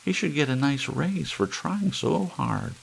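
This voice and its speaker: Man playing a simple, kindly housewife, pitched up. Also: animated, measured pace, gravelly, a little hiss or hum, poor audio. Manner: hesitant, earnest, simple.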